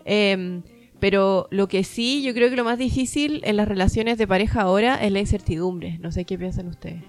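A woman's voice: medium pitch, normal speed, faint music behind. Teasing Chilean woman podcast co-host. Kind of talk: casual chat.

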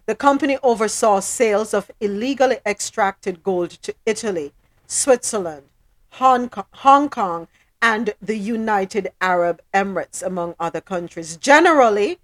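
A woman hosting a current affairs talk show, speaking in a measured, deliberate way as she comments and reads reports. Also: mid-range voice, expressive, a bit bright. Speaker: host. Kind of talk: news discussion, commentary and reading reports.

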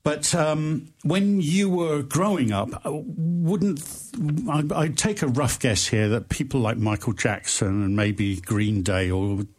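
A man's voice: mid-range voice, slow pace, hardly any pauses. Enthusiastic male radio host. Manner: enthusiastic, hesitant with fillers and restarts. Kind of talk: radio interview. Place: radio studio. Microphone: studio microphone.

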